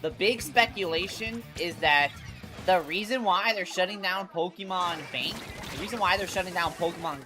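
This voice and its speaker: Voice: medium pitch, moderate pace. A congested livestream host chatting casually about games, slangy and sweary, often repeating and restarting phrases. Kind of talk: casual gaming livestream chat. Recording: music behind the voice. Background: music.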